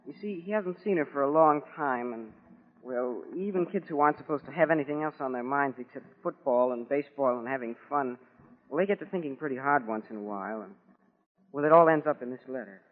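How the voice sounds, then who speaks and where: A man's voice: medium pitch, fairly quick. Polite male guest, tinny 1940s radio. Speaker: guest actor on a radio show. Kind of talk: 1940s radio scripted banter.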